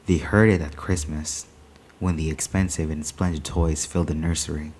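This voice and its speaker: Male story reader, low and husky, measured dramatic delivery for literary fiction. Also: fairly even intonation, darkish tone. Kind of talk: scripted short story read aloud.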